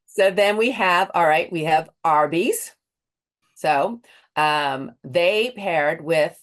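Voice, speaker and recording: A woman with a Boston accent interviewing a guest, chatty and laughing often, often restarting her sentences. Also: on the low side. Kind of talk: casual interview with a quiz.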